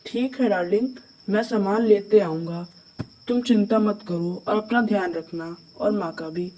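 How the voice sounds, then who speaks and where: A man's voice: high voice, normal speed, warm tone, lightly acted, rough recording. A man voicing a caring young husband and devoted son in a cartoon story, earnest and reassuring.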